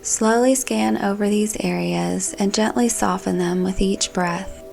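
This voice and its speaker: A woman narrating a scripted guided meditation in a calm, gentle, soothing manner. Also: medium pitch, a little slow, creaky. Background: background music.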